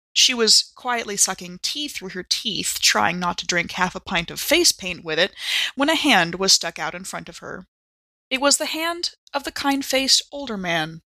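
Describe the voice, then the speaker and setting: A woman podcast performer with a middle-pitched, bright voice. She sounds at home both narrating a story and chatting casually, and the recording is very dry. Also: dry recording. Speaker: podcast cast member. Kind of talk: dramatic audiobook-style reading and casual chat.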